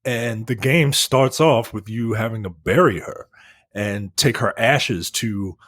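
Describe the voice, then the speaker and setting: A man chatting casually as a podcast co-host, talking in a halting, rambling, informal way with some swearing. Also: on the low side, fairly bright tone, no room sound, clean studio sound. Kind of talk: casual movie and game news chat.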